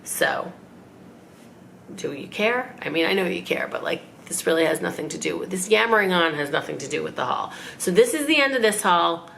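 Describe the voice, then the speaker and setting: A woman in her forties, raspy from a cold, chatting and rambling in a casual haul vlog at home. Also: on the low side, expressive, fairly quick, a bit bright, a little hiss or hum. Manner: chatty, rambling, self-mocking. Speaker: fashion vlogger. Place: living room at home.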